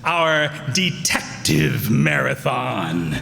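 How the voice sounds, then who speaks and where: A man's voice: medium pitch, sing-song, drawn-out words, vocal fry, pushed and bright, soft background music. A man hosting a live radio play evening, introducing it in a folksy, jokey way. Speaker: announcer and host. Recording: reverberant hall, faint background noise.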